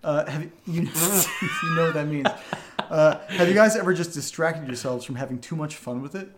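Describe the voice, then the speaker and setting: A man's voice: a little high, wildly swooping pitch, normal speed, noisy background. A man co-hosting a podcast, reading out listener questions and chatting casually with playful, wry teasing. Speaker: audio drama podcast co-host.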